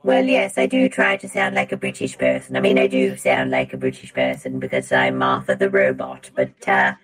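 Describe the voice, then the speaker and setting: A prim robot nanny with a very deep voice. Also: completely flat delivery, a bit bright. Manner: halting, proper, faux-British. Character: prim female robot nanny from a cyborg planet. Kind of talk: comic bit on a podcast.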